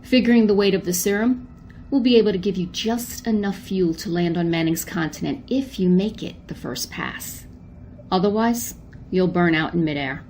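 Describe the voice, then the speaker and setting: A woman playing a brisk, businesslike spaceship commander in an old-time style radio drama, clipped and authoritative. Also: lowish voice, a little mellow, a little hiss or hum, close to an everyday voice.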